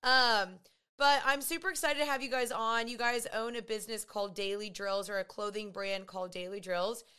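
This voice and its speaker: A woman with a raspy voice hosting a podcast in chatty, gushing talk full of fillers. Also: medium pitch, fairly even intonation, normal speed, pushed and bright. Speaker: podcast host. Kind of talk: casual podcast chat.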